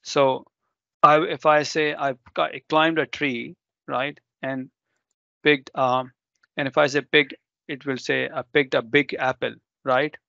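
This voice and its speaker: A man's voice: mid-range voice, little pitch movement, takes it slowly, creaky, sharp tone, no echo, muffled recording. A man whose first language is Urdu, presenting a live technical demo and explaining step by step with ums and self-corrections.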